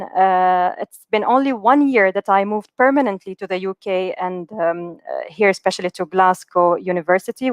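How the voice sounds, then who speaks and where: Earnest woman speaker, smooth dry voice. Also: medium pitch, dry recording. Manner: many fillers and restarts, earnest. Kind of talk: motivational webinar talk.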